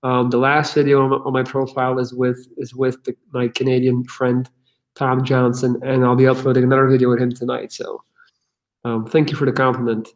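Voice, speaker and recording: A man hosting a casual livestream Q&A, answering viewer questions in an energetic, profane way with many fillers. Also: medium pitch, fairly quick, low-quality recording.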